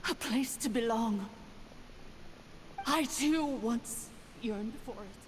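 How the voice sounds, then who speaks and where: A woman playing a grave, wounded fantasy character in a video game, with dramatic, halting delivery. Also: on the high side, slight background noise. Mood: wistful.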